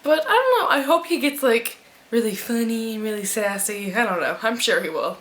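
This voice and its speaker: A woman chatting casually to her camera at home, reviewing a book with rambling, animated asides. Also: fairly high pitch, moderate pace. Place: at home in front of a fan. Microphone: camera mic.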